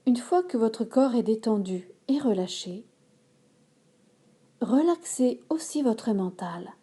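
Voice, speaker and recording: A woman yoga instructor leading a guided relaxation in a calm, measured manner, giving instructions in short phrases. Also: fairly high pitch, slightly rough. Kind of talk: slow guided relaxation meditation.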